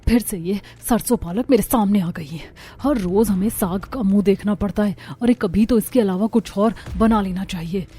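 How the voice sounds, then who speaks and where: A woman's voice: mid-range voice, moderate pace, few pauses, warm tone, close to an everyday voice. Cartoon daughter-in-law, played by a woman: young, weary, whiny grumbler, faint music bed. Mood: fed up. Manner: whiny, complaining, exasperated. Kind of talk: Hindi cartoon story dialogue.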